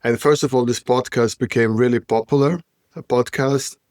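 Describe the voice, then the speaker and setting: A man's voice: mid-range voice, steady tone, moderate pace, a little mellow, dry recording, clean studio sound. A man hosting a podcast in casual chat, rambling and stumbling over his words while searching for them. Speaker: podcast host.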